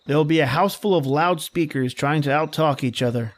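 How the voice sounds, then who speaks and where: A man's voice: fairly high pitch, fairly quick, close to an everyday voice. A man playing a grumbling, slangy young fiancé in an old-time radio drama, with a wry, exasperated manner. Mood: romantic, resigned. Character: grumbling wisecracking young fiancé dreading his boss-lady's party. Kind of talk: 1930s radio drama acting.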